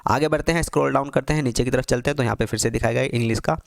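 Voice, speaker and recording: Gravelly-voiced man repeating himself as he teaches. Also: medium pitch, fairly even intonation, no room sound, studio quality. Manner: repetitive, rhetorical questions with quick answers. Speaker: exam tutor. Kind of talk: screen-walkthrough tutorial.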